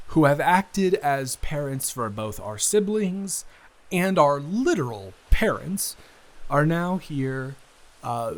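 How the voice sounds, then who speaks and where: A man playing a responsible, wry eldest son who rambles hesitantly in an improvised intimate audio roleplay. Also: mid-range voice.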